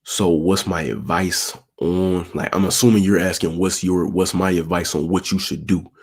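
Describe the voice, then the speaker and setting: A young man with a very deep, gravelly, husky voice that stays flat and monotone. He gives dating advice to his viewers in an easygoing, conversational way and keeps checking in with the listener as he talks. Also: normal speed. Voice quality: low, monotone, raspy voice. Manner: laid-back, peppered with "you feel me", talks with his hands. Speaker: channel host giving dating advice. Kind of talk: casual advice talk answering viewer questions.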